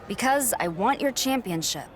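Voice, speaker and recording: A woman's voice: fairly high pitch, expressive, moderate pace, natural voice. A woman playing a confident, determined young wrestling challenger in a video game cutscene.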